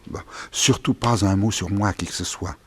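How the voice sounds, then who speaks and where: An actor playing a haughty, touchy English gentleman detective, pompous and boastful, in a 1960s French radio drama. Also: lowish voice, fairly even intonation, normal speed, breathy edge. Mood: confident, conspiratorial.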